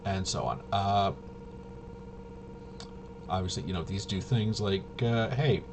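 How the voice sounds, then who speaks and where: A man with a fairly low voice talks casually through game rules as a reviewer. He is halting and mumbles as he half-reads. The recording has clear noise behind him. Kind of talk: casual off-the-cuff rules walkthrough. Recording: clearly noisy home recording.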